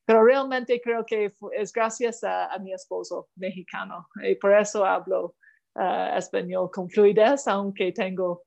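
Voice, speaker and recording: A woman's voice: medium pitch, steady tone, a little slow, vocal fry, a little mellow. A middle-aged Canadian woman, a diplomat, answering interview questions in learned Spanish with a Canadian English accent, thoughtful and full of small hesitations and restarts. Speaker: diplomat guest.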